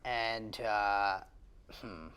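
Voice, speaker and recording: A man playing a tabletop game, chatting casually and thinking aloud in a hesitant, halting way. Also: lowish voice, steady tone, a bit bright, slight background noise.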